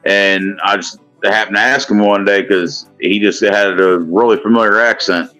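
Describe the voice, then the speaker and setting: Man in his thirties, Kentucky accent, very low and forceful voice; rambling casual guest who keeps saying "you know what I mean.". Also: steady tone, moderate pace, soft background music, rough recording. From Indiana, US. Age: 30s. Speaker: guest on a live stream. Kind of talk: casual chat.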